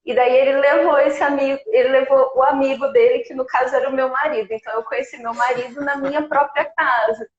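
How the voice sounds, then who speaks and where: A woman's voice: high-pitched, fairly even intonation, fast talker, few pauses. A woman of forty, a guest nutritionist telling her story in a conversational way over a remote call. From Brazil. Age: 40s. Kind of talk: casual live chat. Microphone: remote video call. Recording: echoey, dull remote call audio.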